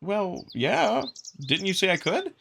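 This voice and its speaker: A man's voice: high-pitched, huge pitch swings, takes it slowly, vocal fry, a bit bright, a little hiss or hum, voice pushed up, full character voice. A man voicing a curious, anxious young human with a hesitant, placating way of talking.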